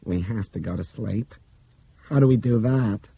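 A man's voice: lowish voice, unhurried, creaky, mellow, slightly rough, dry recording, voice pushed up, heavily acted, poor audio. A man voicing a frightened young woman passenger who speaks anxiously and pleadingly.

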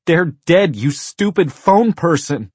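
A man's voice: medium pitch, brisk, a little mellow, slightly rough, dry recording, pitched above the performer's own voice, natural voice. Man acting bossy, scared little girl. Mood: furious shouting. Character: stern, bossy six-year-old girl, frightened but determined.

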